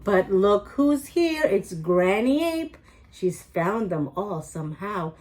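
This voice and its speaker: A woman's voice: mid-range voice, very animated, slow, darkish tone. A woman hosting a children's storytime, reading rhymes aloud expressively with a sing-song delivery and emphasized sound words. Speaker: storytime host reading picture books aloud.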